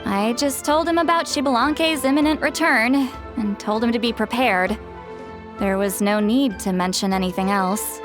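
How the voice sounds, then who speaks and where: Woman doing a grumbly granny type for a game, high voice, huffy and weary, bit of music behind. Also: moderate pace, close to an everyday voice. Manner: world-weary, huffy, sighing complaints. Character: grumbling, self-deprecating female elder who calls herself granny. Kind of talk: video game voice acting.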